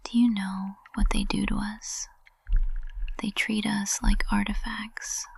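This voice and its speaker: A woman acts out a witch professor in an intimate ASMR roleplay, whispering slowly and close to the mic. Her breathy hush has a dry closeness, and she sounds tender, fragile and possessive beneath a formal composure. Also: medium pitch, no echo, exaggerated voice. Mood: vulnerable, confessing. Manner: slow, hushed, intimate delivery. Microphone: close microphone.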